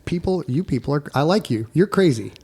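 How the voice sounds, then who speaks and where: A man's voice: on the high side, expressive, a little fast, a little mellow, slightly rough. A man hosting a casual podcast, bantering informally in a wry, joking way. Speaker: author and podcast host.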